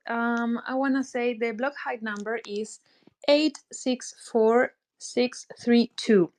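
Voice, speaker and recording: A woman hosts a podcast interview in a casual, friendly register, often stopping and restarting her sentences. Her voice sits a little high for a woman. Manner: chatty, halting, frequent fillers and restarts. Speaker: podcast host.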